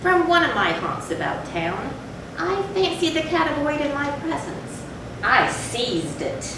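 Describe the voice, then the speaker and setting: Tormented confessor in gothic readers theatre, played by a woman: formal, deliberate delivery, distant from the mic with heavy background noise. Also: high-pitched, sing-song, exaggerated voice. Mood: horrified, intense. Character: tormented, confessing animal lover turned cruel drunkard. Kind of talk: dramatic reading of gothic horror story. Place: large echoey room, far from the mic. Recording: very echoey, distant, noisy live hall recording.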